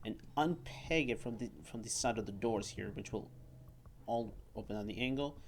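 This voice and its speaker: A man reviewing and demonstrating a toy at a table indoors, talking haltingly and often repeating words and phrases. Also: fairly low pitch, slight background noise.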